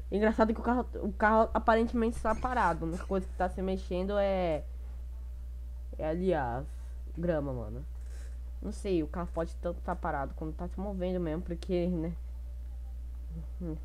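Brazilian female gaming YouTuber, lowish voice, casual commentary, chatty and slangy. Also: a little mellow. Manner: slangy, chatty, thinking aloud.

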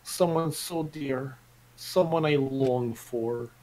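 A man voicing a creepy, lovesick young man with a theatrical, drawn-out delivery in a game fan dub. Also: mid-range voice, crowd noise, pitched below the performer's own voice, close to an everyday voice, low-quality recording. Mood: yearning, reverent. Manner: slow, dramatic, drawn-out delivery.

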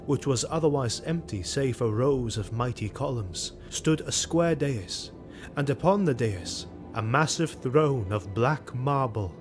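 An actor narrating a sword-and-sorcery audio drama as a solemn storyteller, measured and literary. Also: male voice, medium pitch, lightly acted.

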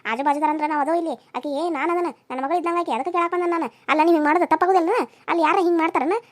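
An indignant, motherly neighbour woman played by a female performer in a family melodrama. She is outspoken and protective, talking very high and fast in a North Karnataka accent. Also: steady tone. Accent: North Karnataka Kannada.

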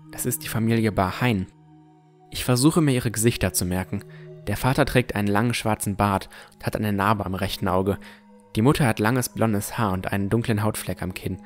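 A man plays a young armored hero in a fantasy audio drama, with a fairly low voice. He tells his own story in a measured, inward way, puzzled yet determined, over music. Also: close to an everyday voice. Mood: curious, narrating. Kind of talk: first-person narration in a fantasy audio drama.

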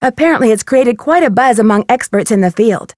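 A woman playing a young American amateur detective in a mystery video game, polite, earnest and inquisitive, speaking in crisp scripted lines. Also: fairly high pitch, animated, brisk, darkish tone, no echo, studio quality, close to an everyday voice. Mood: cheerful, eager.

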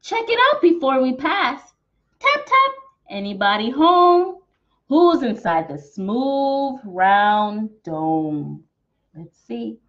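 A woman's voice: high-pitched, big pitch swings, slow, a bit bright. A young American woman reading a picture book aloud to small children in a theatrical, sing-song, exaggerated way. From Texas, United States. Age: young adult. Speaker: children's storytime teacher. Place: small decorated room at home, indoors. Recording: dull, slightly muffled webcam sound.

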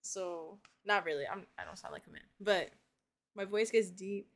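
A woman's voice: medium pitch, moderate pace. An American woman chatting casually as a reaction co-host, in a self-deprecating way.

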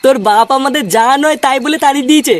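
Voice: high voice, very fast, background noise, close to an everyday voice. A performer voicing a brash village lad in a comedy cartoon, blunt and casual, talking like one of the gang. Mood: puzzled. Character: brash, swearing older village lad, a boy's friend.

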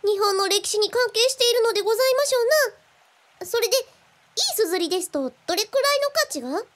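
A precocious little girl from a radio drama with an extremely high, very lively voice. She speaks in quaint, ceremonious, old-fashioned phrases and is endlessly inquisitive. The recording carries clear noise. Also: fast. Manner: archaic, ceremoniously polite, inquisitive.

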